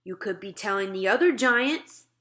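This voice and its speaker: A woman voicing a gentle, secretive male giant from a children's storybook, with muddled grammar and whimsical made-up words. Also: mid-range voice, animated, moderate pace. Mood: suspicious, grumbling. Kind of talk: children's storybook read-aloud.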